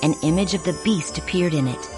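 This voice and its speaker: A woman narrating a children's read-along storybook in a clear, expressive way. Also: sits low, a little fast. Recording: music playing behind.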